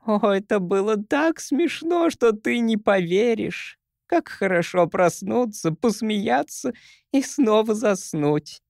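A man voicing an ancient, tiny, merry female creature who is the first grandmother, in a teasing, giggly, drawn-out manner. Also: high voice, animated, vocal fry, dry recording, studio quality, pitched above the performer's own voice, heavily acted. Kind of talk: audiobook character voice.

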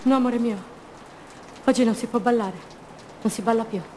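An actress playing an impulsive, fragile woman madly in love, in an intense, poetic radio theatre monologue full of repeated phrases that swings between rapture and hush. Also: a little high, fairly even intonation, moderate pace, heavy background noise, close to an everyday voice. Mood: sad, urgent.

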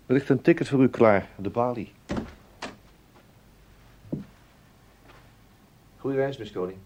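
An actor playing a tactful, dryly ironic intelligence officer in a Dutch radio play, speaking politely and a little hesitantly. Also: male voice, mid-range voice, fairly quick, darkish tone, lo-fi sound, natural voice. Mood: gentle, awkward. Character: wry, tactful male intelligence officer breaking bad news. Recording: noisy old radio drama recording.

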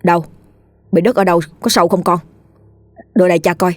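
Woman voicing a gruff village father. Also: on the low side, steady tone, a little fast, no echo, faint music behind, natural voice. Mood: concerned. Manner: gruff, scolding. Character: worried rough-spoken village father.

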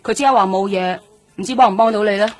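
An actress playing a jaded, streetwise nightlife hostess in a Cantonese radio drama, talking in a blunt, offhand, slangy way. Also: female voice, medium pitch, quick pace, faint music behind, close to an everyday voice. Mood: guarded, offhand. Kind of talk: radio drama acting, colloquial dialogue.

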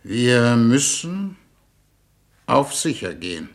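An older male foreign minister, pompous and weary of the world. He speaks very slowly and deliberately, with a gravelly creak, at an ordinary male pitch, in the manner of 1950s radio theatre. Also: sing-song. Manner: slow, languid, deliberate delivery. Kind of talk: 1950s German radio drama acting.

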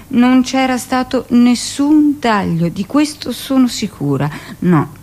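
An actress playing a middle-aged village-born midwife in an intimate, expressive theatrical monologue, with dramatic pauses. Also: female voice, on the low side. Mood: firm, earnest. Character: 45-year-old village-born midwife, recounting childhood, emotional, earnest.

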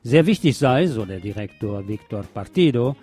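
Mellow male radio news presenter. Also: medium pitch, lively intonation, moderate pace, breathy edge, soft background music. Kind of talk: scripted local news narration.

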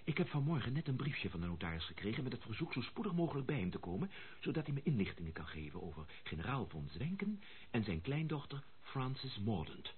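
An actor playing an eager, excitable young gentleman in a Dutch radio play, with theatrical, old-fashioned genteel phrasing. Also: mid-range voice, fairly quick, a little hiss or hum, close to an everyday voice. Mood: curious. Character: eager young gentleman bachelor, excitable, amused, curious about his bride. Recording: muffled, phone-like old radio recording.

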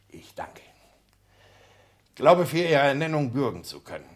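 An actor playing a genteel, proud count and father in a 1960s German radio drama, speaking in a formal, polished way. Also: medium pitch. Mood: gracious, urbane.

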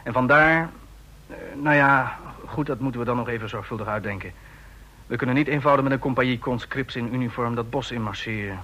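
An actor in a Dutch radio play voicing a courteous, authoritative army general with a formal, commanding manner. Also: male voice, medium pitch, brisk, sharp tone.

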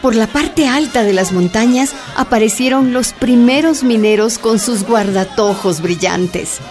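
A woman's voice: a little high, a little slow, darkish tone, faint music behind. A woman narrating a scripted radio drama.

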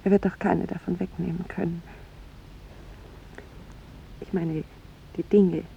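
An actress playing a charming young woman in a 1950s radio drama, speaking softly, earnestly and with delicate restraint, gently resolute in parting. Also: flat delivery, measured pace, creaky, husky, full character voice. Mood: earnest, tender. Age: young adult. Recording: muffled vintage radio recording, rumbling hiss.

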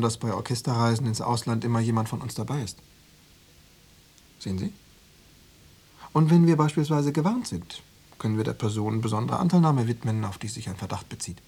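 Insinuating secret police officer, husky. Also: male voice, mid-range voice, a little mellow. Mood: veiled threat. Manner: probing, coaxing, coolly formal with veiled menace. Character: insinuating male Stasi officer pressuring an informant. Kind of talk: German radio drama dialogue.

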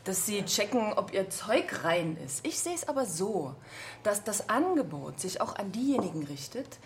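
A woman's voice: mid-range voice, big pitch swings, moderate pace, a little hiss or hum. An actress playing an earnest female politician who makes a plain-spoken, reasoned plea in a radio drama committee debate.

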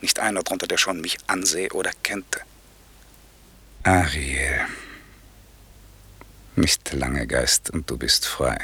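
A wronged sorcerer lord in a classical radio play. His voice is very low and he speaks slowly, with grave, declamatory weight. He sounds stern and commanding, yet bent on mercy. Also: little pitch movement, sharp tone, a little hiss or hum, heavily acted. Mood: stern, forgiving. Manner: solemn, declamatory, measured. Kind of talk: German classical radio drama verse recitation.

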